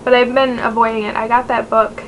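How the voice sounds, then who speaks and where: A woman's voice: on the high side, level tone, a little fast. A nasal-voiced woman in her twenties, chatting casually to camera as a book vlogger, rambling with many fillers. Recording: noisy home recording.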